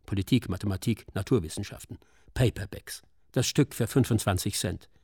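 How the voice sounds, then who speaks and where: A man's voice: mid-range voice, darkish tone, no room sound, clean studio sound. A man narrating a scripted radio documentary drama in a sober, clipped manner. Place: dry studio.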